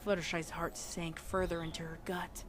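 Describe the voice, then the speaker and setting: A woman's voice: on the low side, little pitch movement, normal speed. A woman giving a scripted, dramatic narration of a story. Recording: loud music behind voice. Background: music.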